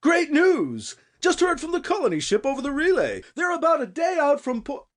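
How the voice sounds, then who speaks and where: Casting: male reader as bumbling older colony official, excitable, naive, very high swooping pitch. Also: normal speed. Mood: excited. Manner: excitable, flustered.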